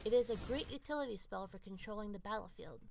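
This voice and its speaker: Female guide narrator, middle register, scripted and explanatory, measured instructional delivery. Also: mid-range voice, vocal fry, crowd noise. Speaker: narrator of a game champion guide.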